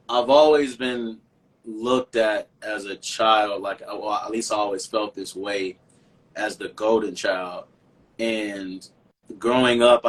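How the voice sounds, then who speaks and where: A man's voice: fairly low pitch, fairly bright tone, recorded from a distance, low-quality recording. A man from Chicago, a singer guest in a casual interview, talking in a reflective, earnest way and often repeating words as he thinks. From Chicago, USA.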